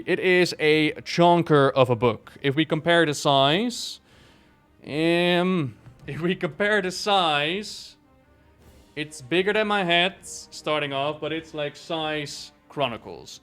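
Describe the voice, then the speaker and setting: A man's voice: a little high, a bit bright, smooth. A man chatting casually and enthusiastically as he reviews something for viewers, rambling a little and stressing his praise. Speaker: video creator reviewing a book.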